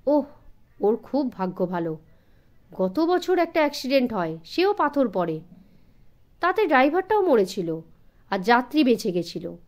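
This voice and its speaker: Obliging, talkative storybook jeep driver, male, played by a woman, high-pitched and very animated delivery. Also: measured pace, pauses often.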